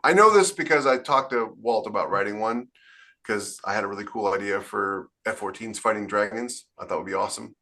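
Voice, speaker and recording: A man hosting a casual podcast, talking in animated, quick bursts and steering the conversation. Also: fairly low pitch. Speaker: podcast host, author.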